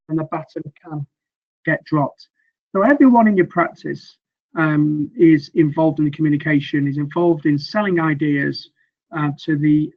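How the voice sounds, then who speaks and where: A middle-aged man coaching on communication and sales, speaking earnestly and loosely with many fillers and restarts, a little croaky from weeks of teaching. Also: a little high, lo-fi sound. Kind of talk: presentation with slides.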